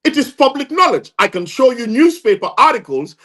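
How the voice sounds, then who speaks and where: High-voiced, emphatic Gambian man. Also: big pitch swings, fairly quick, fairly bright tone. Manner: emphatic, insistent, repeats phrases for stress. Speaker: interview guest, lawyer. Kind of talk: impassioned interview testimony.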